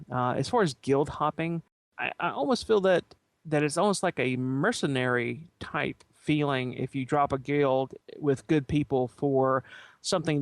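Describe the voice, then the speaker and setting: Reflective man, mid-pitched, in a dry space. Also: no echo. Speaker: podcast panelist. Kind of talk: panel discussion of an online roleplaying game.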